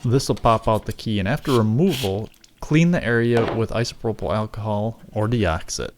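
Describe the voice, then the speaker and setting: A man's voice: lowish voice, normal speed. A man reading scripted how-to narration in a step-by-step tutorial, as its narrator. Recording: clear background noise.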